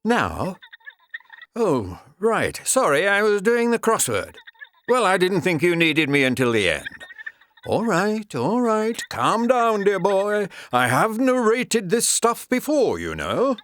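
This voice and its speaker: An actor playing a fussy, self-important narrator in a comic audio drama, chatty one moment and grandly theatrical the next. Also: male voice, sits high, very animated, takes it slowly, a bit bright, a little hiss or hum, exaggerated voice. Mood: flustered, huffy.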